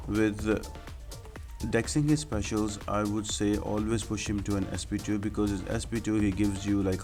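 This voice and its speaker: A man giving casual, step-by-step game walkthrough commentary in an explanatory, instructional way. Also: low voice. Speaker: gaming video creator giving fight tips. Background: music behind the voice.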